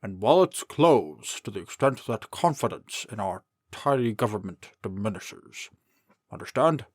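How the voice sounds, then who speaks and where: A man's voice: lowish voice, animated, measured pace, no echo, clean studio sound. Male actor for fussy old king-mayor in comic dub. Very varied intonation, politician's pomp and coaxing. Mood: pompous, pressing. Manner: pompous, cajoling politician.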